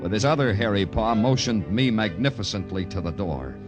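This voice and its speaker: Man playing hard-boiled American cop, lowish voice, terse and sardonic, 1950s radio noir with prominent music. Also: brisk, lightly acted. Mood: wry narration. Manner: deadpan, terse, wry. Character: weary, sardonic male New York police detective, hard-boiled narrator.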